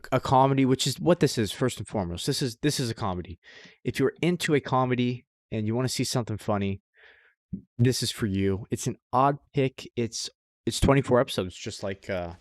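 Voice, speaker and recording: A man's voice: medium pitch, normal speed, no echo, clean studio sound. A man co-hosting a podcast in casual chat, informal and sweary, repeating phrases for emphasis as he gives recommendations. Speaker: podcast co-host.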